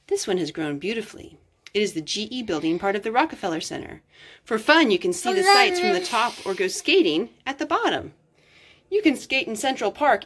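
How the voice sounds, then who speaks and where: Woman doing storytime, reads a kids picture book out loud, expressive, stretches words for emphasis. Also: medium pitch. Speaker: storytime reader. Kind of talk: reading a picture book aloud.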